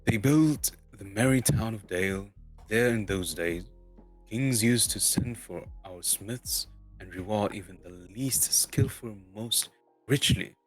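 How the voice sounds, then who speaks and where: A man voicing a proud, impatient dwarf leader in a storybook reading, with grandiose, emphatic, halting delivery. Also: on the low side, faint music behind, lightly acted, low-quality recording. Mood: proud, reminiscing.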